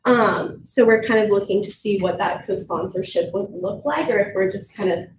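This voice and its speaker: A woman's voice: mid-range voice, moderate pace. Sick stuffy-nosed young woman giving updates, lots of ums, far off in an echoey noisy room. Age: young adult. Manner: chatty, many "um"s and "kind of". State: congested, sick. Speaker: student government vice president. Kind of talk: formal meeting report. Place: meeting room.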